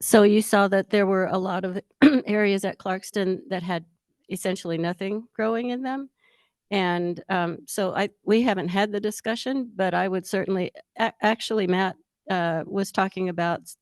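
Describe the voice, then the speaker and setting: A woman's voice: medium pitch, fairly even intonation, moderate pace, no echo. A woman researcher explaining field plans in a meeting, talking casually with many fillers. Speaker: plant researcher. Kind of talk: meeting discussion. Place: conference room.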